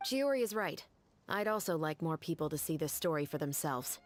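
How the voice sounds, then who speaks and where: An actress playing a composed, serious young woman officer in a video game, speaking in a measured, earnest, formal way. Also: low voice, expressive. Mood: calm, reflective. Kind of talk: scripted video game dialogue.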